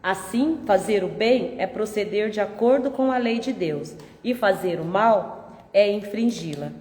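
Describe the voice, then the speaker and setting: A Brazilian woman giving a gentle, conversational spiritist talk with a nasal, congested voice from a cold. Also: mid-range voice, a little slow. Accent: Brazilian Portuguese. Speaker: spiritist lecturer. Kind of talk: public talk with opening prayer. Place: large hall of a spiritist center. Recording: echoey hall with long reverb.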